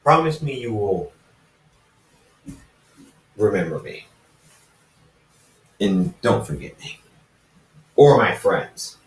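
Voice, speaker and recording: A man's voice: on the low side, big pitch swings, slow pace, pauses often, voice pushed down. A man voicing a brooding, secretive half-god assassin in an amateur fan fiction reading. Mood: earnest. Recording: roomy echo, distant microphone.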